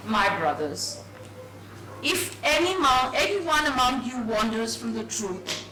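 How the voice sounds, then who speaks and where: A woman's voice: fairly high pitch, sing-song, slow pace. A woman giving a dramatised reading of a story in a hall. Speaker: story reader. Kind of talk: dramatised book reading on stage. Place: echoey event hall. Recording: echoey hall, distant mic, faint noise.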